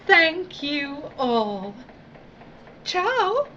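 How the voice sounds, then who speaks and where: A theatrical, tyrannical lady boss, drawls with mock sweetness and swoops up and down. She is condescending and slow, in a dystopian radio play with slightly dull sound. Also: high-pitched, big pitch swings, bright, forceful tone, slight background noise, heavily acted. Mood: saccharine. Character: domineering, theatrical, sadistic female boss of dystopian work crews. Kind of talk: theatrical audio drama acting.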